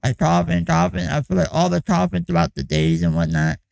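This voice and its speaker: A young man with a hoarse, croaky voice that is heavily gravelly and pitched fairly high for a man. He talks in a loose, rambling way, leaning on "like" and "you know" as he checks in with his viewers on a music production course. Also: very animated, a little fast, darkish tone. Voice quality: raspy, croaky. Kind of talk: casual vlog check-in.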